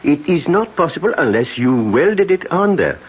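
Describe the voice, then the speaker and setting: An actor playing an apologetic, deferential servant in a radio drama, speaking hesitantly. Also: male voice, on the high side, sing-song, normal speed, husky. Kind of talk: 1970s radio drama acting. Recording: muffled, noisy 1970s radio broadcast.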